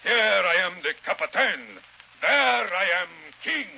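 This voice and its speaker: An actor playing a gloating, sadistic pirate boss in a 1930s radio drama, bullying in broken English with a Spanish accent. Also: male voice, sits high, expressive, measured pace, gravelly, pushed and bright, lo-fi sound, heavily acted. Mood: commanding. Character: gloating sadistic pirate captain, fat, menacing bandit boss. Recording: hissy 1930s radio recording.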